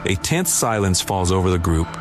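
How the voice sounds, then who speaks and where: A man's voice: deep voice, very animated, normal speed, over music. A man narrating a scripted audio drama as its storyteller.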